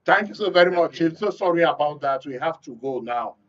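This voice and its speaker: A Nigerian man hosts a studio panel discussion. His voice sits on the high side. The sound is somewhat dull and a little distant from the microphone. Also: animated, brisk. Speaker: radio and TV talk show host. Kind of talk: live panel discussion hosting. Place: radio and TV studio.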